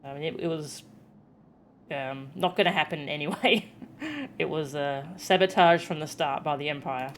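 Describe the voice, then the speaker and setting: A woman's voice: deep voice, moderate pace, a little hiss or hum. A woman streaming a game, giving casual, amused live reactions in an informal way. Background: game music.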